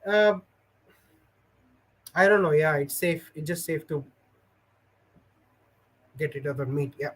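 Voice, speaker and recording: A man's voice: a little high, slow, pauses often. A man hosting a casual livestream, chatting with viewers in a rambling, hesitant way full of fillers, and telling stories. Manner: storytelling. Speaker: livestream host. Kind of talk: casual livestream chat, reading viewer comments.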